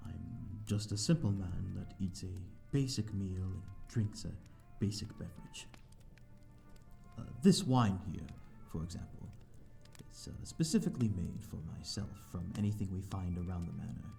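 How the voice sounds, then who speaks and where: A lonely gentleman vampire, acted by a man, speaks low with a heavy gravelly creak. His phrasing is formal and polite, with hesitant little pauses, as in an intimate ASMR roleplay. Soft music plays behind him. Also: animated. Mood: modest, bashful. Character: courteous, lonely old-fashioned male vampire living in a manor. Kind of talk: slow ASMR audio roleplay, addressing the listener.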